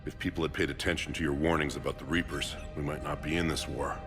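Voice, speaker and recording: Deep-voiced, driven research doctor. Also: male voice, fairly even intonation, fast. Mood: earnest. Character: earnest, driven middle-aged male research doctor. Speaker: scientist leading a task force. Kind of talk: video game voice acting. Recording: hall reverb, game music behind.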